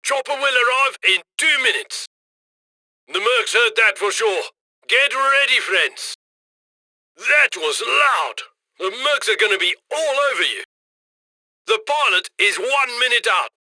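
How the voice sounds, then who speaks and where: A man's voice: very high voice, lively intonation, measured pace, pauses often, bright, forceful tone, dry recording, exaggerated voice. An actor playing a cocky, chummy male heist handler in a video game, with a wry, folksy, upbeat manner. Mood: brisk, determined. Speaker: mission handler.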